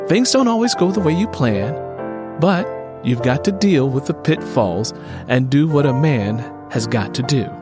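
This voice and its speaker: A man voicing a sympathetic, encouraging schoolteacher woman in an audio drama, gentle and consoling. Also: medium pitch, lively intonation, unhurried, music in the background, lightly acted.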